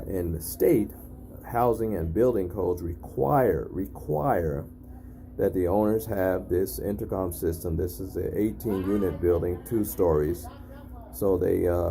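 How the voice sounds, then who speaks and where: Very deep, gravelly man. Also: dark tone, slight background noise. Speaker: narrator documenting an intercom test. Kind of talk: casual on-site video demonstration. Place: at a building's entry intercom panel.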